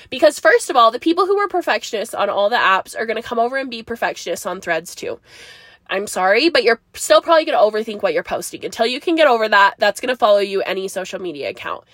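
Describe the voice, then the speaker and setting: A woman's voice: fairly high pitch, a bit bright, no room sound, studio quality. A young woman hosting a casual solo podcast, rambling and chatty with frequent laughs, her nose stuffed up, talking from inside her car. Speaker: podcast host. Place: parked car.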